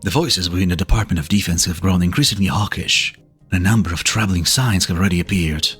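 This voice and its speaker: A man playing a composed government official in an amateur game fan dub, husky, formal, deliberate and serious. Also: low voice, fairly bright tone, faint music behind, heavily acted. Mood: grave, measured.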